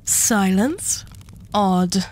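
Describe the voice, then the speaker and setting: Female arch devil for an ASMR roleplay, acted by a woman: mid-pitched, imperious treasure hoarder, condescending, unhurried, courteously threatening. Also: animated, a little mellow. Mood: coolly menacing. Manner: condescending, unhurried, politely menacing. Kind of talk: scripted ASMR roleplay acting.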